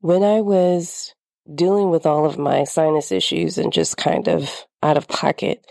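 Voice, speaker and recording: A woman's voice: deep voice, gravelly, darkish tone, no echo. A woman podcast host with a slightly congested, nasal voice, talking candidly in a reflective personal monologue.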